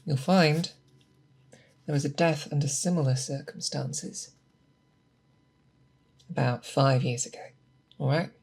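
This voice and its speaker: A patient, methodical monster hunter, as an ASMR performer plays the part, mentoring an apprentice. The voice is mid-low and androgynous, with very slow, deliberate delivery that coaxes with questions. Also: gravelly, darkish tone, breathy edge, full character voice. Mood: calm, focused. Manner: unhurried, deliberate, coaxing with questions. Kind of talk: ASMR roleplay, scripted character monologue.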